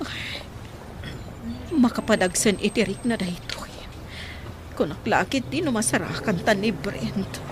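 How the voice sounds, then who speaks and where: A woman's voice: medium pitch, measured pace, pauses often, noisy background, close to an everyday voice. A woman playing an anxious, urging wife. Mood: despairing. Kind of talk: radio drama acting.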